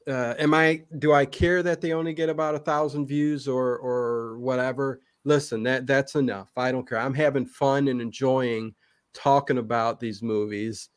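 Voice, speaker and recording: An American man from Cleveland chatting casually as a livestream guest, excitable, often repeating himself and interjecting. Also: medium pitch, moderate pace, clear, resonant tone, no room sound. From Cleveland, United States. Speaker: YouTube channel host, interview guest.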